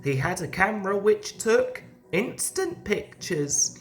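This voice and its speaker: A woman narrating a scripted children's story as an expressive read-aloud. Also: medium pitch, slow. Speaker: storybook reader.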